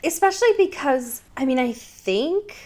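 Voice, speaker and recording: Higher-voiced woman chatting casually. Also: lively intonation, moderate pace, a little mellow. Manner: informal, hedging, conversational. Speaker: podcast co-host. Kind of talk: casual chat.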